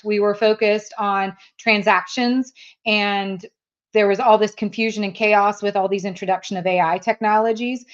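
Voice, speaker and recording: Chatty woman, German native, lo-fi sound. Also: mid-range voice. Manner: chatty and candid, laughs often. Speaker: sales trainer guest. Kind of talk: casual interview chat.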